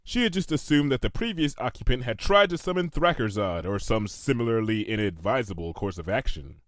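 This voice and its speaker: Animated male storybook narrator. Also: mid-range voice, brisk, no room sound, clean studio sound. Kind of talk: scripted storybook narration of comedic fan fiction.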